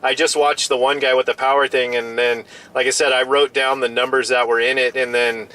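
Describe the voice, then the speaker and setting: A man's voice: mid-range voice, flat delivery, brisk, sharp tone, rough recording. A man chatting casually as a radio hobbyist, often restarting and repeating his phrases.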